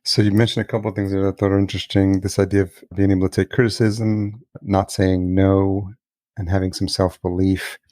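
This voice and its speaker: A man from El Salvador hosting a podcast, asking curious, probing questions in a relaxed conversation. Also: deep voice, fairly quick, no echo. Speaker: podcast host. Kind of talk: casual interview conversation.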